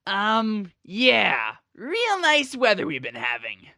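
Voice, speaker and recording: A woman's voice: mid-range voice, wildly swooping pitch, slow pace, pushed and bright, no room sound, clean studio sound, heavily acted. A woman voicing a brash, teasing, cocky young male pegasus pony with boisterous slang in a fan-made audio story. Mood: puzzled. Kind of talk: fan fiction audio drama.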